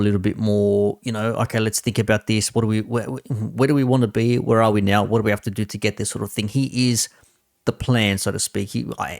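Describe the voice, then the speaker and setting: A man's voice: on the low side, creaky, dry recording, clean studio sound. A man giving casual, opinionated commentary as a host, blunt and sweary in a conversational way. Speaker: host reacting to a football debate stream.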